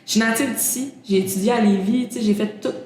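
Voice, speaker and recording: A woman's voice: a little high, fast talker, darkish tone. A young woman with a Québécois French accent hosting a casual interview, chatty, laughing often and restarting her sentences. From Quebec, Canada. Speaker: interview host. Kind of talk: casual conversation. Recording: very roomy echo, faint background hum.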